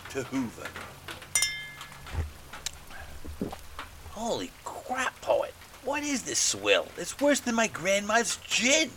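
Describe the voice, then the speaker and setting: An actor playing an exasperated, pleading old friend who brings a shady job, in a noir audio drama. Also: male voice, sits high, wildly swooping pitch, a little slow, sharp tone, a little hiss or hum, heavily acted. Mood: disgusted.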